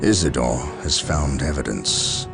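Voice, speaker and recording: A stern space marine captain, speaks in a deep, gravelly voice. He is formal and grave, giving commands in a video game cutscene with music behind. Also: unhurried, exaggerated voice.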